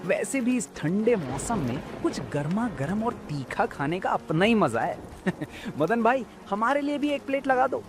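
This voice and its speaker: Teasing village customer, lively pitch man acting. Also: a little slow, soft background music. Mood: eager. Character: easygoing, teasing male village customer eager to eat.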